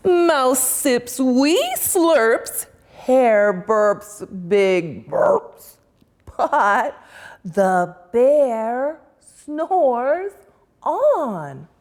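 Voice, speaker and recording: A woman reading a rhyming children's picture book aloud in a sing-song, drawn-out way. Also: high-pitched, big pitch swings, a little mellow. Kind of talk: expressive read-aloud storytelling.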